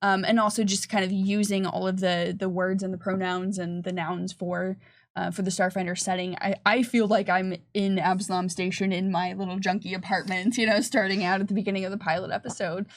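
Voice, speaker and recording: Casual woman presenter with a creaky edge. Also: mid-range voice, little pitch movement, fairly quick. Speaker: game developer presenting on a livestream. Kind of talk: casual chat.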